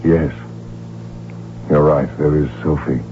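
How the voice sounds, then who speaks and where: A man's voice: a bass voice, little pitch movement, slow pace, a little hiss or hum. A man playing an imperious, passionate archduke, commanding and intense, in an old-time radio drama. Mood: earnest. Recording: muffled old radio broadcast, music behind.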